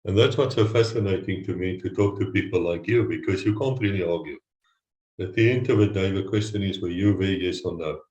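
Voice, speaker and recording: A man's voice: low voice, fairly quick, roomy sound, poor audio. A man hosting an interview, speaking earnestly and thanking his guest. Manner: earnest, warm praise of guest. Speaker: host. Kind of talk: casual interview talk.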